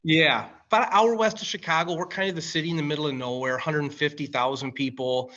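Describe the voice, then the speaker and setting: A man's voice: on the high side, steady tone, quick pace, bright, forceful tone, highs cut off. A man in his late forties with an American accent, telling stories casually and colloquially as a podcast guest. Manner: colloquial, familiar, calls listener man and brother. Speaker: podcast guest, firefighter and coffee company director. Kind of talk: casual conversational storytelling.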